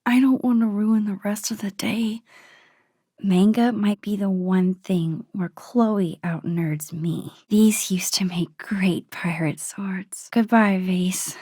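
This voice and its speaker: A gentle, worrying teenage girl in a video game monologue. Her voice is soft, husky and breathy, recorded very dry. She murmurs her thoughts to herself in a sweet, introspective, nostalgic way. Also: mid-range voice, steady tone, takes it slowly, no echo. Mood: hesitant, worried.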